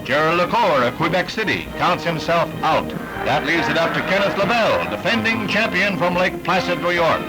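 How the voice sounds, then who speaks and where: A man's voice: a little high, animated, normal speed, poor audio. An American man narrating a scripted 1960s newsreel in a formal, declamatory announcer style on a vintage soundtrack. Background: orchestral newsreel music.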